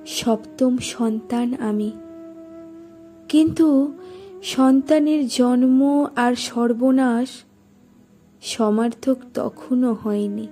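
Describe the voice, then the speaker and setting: A woman with a high voice recites poetry very slowly over light music. Her tone is soft and mellow, and she places each phrase deliberately, leaving expressive pauses. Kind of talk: dramatic Bengali poetry recitation.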